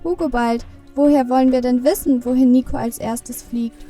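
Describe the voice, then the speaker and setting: A woman playing an excitable, eager little Christmas elf in a children's audio play. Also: high-pitched, normal speed, far from the mic, faint music behind. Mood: keen.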